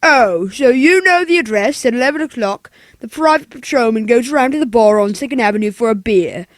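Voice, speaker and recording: A woman voicing a calm, businesslike male hired killer in a 1940s-style radio play, with a flat, matter-of-fact delivery. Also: a little high, fairly quick, no echo, lightly acted. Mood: cold, calm.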